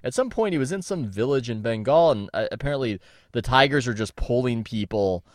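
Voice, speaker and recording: A man's voice: mid-range voice, lively intonation, fairly quick, no room sound, studio quality. A man co-hosting a podcast, chatting casually with wry, joking asides. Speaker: podcast co-host. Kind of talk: casual comedic chat.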